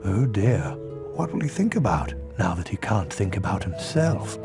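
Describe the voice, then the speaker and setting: An actor playing a kindly, dryly witty elderly wizard headmaster in a video game, measured and ceremonious, with a creaky voice. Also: male voice, fairly low pitch, big pitch swings. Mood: gentle, wry. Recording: loud music behind the voice. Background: music.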